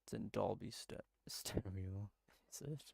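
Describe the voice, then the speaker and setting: A man's voice: lowish voice, measured pace, gravelly, darkish tone, slight background noise. A man giving casual live commentary while playing a game, muttering and thinking aloud. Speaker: game streamer.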